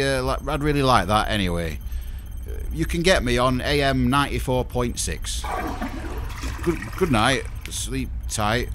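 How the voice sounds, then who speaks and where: Man playing a slow, bashful space crewman. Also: mid-range voice, a bit bright, slight background noise. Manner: hesitant, stammering. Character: awkward, bashful, lovestruck male space-pod crewman. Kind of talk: sci-fi comedy audio drama.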